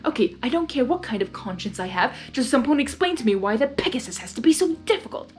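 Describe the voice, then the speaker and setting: A woman's voice: on the high side, big pitch swings, quick pace, a little mellow, faint music behind, pitched above the performer's own voice. A woman voicing a petulant, self-important male chaos creature in a story, melodramatic and exasperated.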